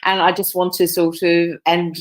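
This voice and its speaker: A woman's voice: on the low side, little pitch movement, moderate pace. A woman, a nursing professor giving a conference presentation over a video call, with many fillers and restarts. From Australia. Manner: fillers and self-corrections.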